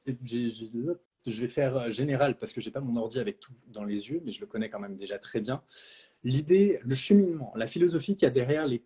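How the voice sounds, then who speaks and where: A man's voice: mid-range voice, animated, fairly quick, warm tone, slightly rough. A man speaking as a naturopath and trainer in a live question-and-answer talk, outspoken and blunt, with frequent hesitations. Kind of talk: live Q&A discussion.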